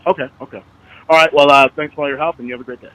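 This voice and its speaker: A man's voice: a little high, steady tone, very fast, bright, forceful tone. An American man speaking as a caller on a phone, in a hesitant, wordy but insistent way. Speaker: caller, citizen activist. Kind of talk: recorded phone conversation. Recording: dull lo-fi phone call recording.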